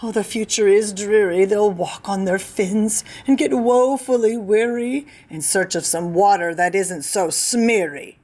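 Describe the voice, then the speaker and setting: A woman's voice: mid-range voice, fairly even intonation, a little slow, hardly any pauses, darkish tone, slight background noise, close to an everyday voice. A woman voicing a small grumpy male forest creature who scolds and pleads, as in a read-aloud storybook. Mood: scolding.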